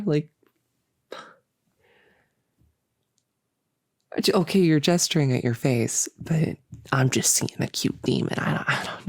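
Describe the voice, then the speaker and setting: A woman playing a playful, doting young cultist in an intimate roleplay monologue, chatty and halting as she teases and coddles. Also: deep voice, vocal fry, warm tone, dry recording, studio quality. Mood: smitten, teasing.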